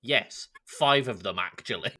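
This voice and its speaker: A man's voice: medium pitch, unhurried, pushed and bright, breathy edge. A man co-hosting a podcast, making wry jokes in casual banter. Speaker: podcast co-host.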